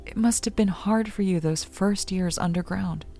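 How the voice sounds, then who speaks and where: An actress playing an earnest, yearning young daughter in a radio drama. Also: female voice, medium pitch, moderate pace, warm tone, soft background music, lightly acted. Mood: wistful. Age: young adult.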